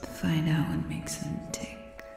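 Woman acting a low-voiced, mocking madwoman. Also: sing-song, takes it slowly, creaky, over music, full character voice. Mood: quiet, scornful. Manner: sarcastic, taunting, theatrical. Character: mocking, remorseless criminally insane female asylum patient. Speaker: voice actor in an audio roleplay. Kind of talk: ASMR-style dramatic monologue roleplay.

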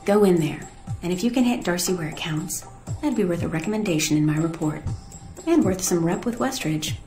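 A woman's voice: fairly low pitch, lively intonation, a little fast, natural voice. Woman playing a cool, echoey agency trainer. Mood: wry, conspiratorial. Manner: dry, composed, teasing. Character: cool, wry young female agency weapons trainer. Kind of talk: video game acting. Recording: echoey room with faint music.

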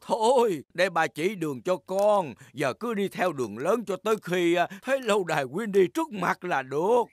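A man voicing an amused, unhurried old grandfather pig in a Vietnamese cartoon dub. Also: sits high, big pitch swings, heavily acted.

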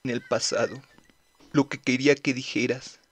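A man's voice: medium pitch, little pitch movement, moderate pace, breathy edge, no echo, lightly acted. A man voicing a timid, earnest, polite teenage boy in an anime fan dub, with a hesitant, apologetic manner. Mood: wistful, hopeful.

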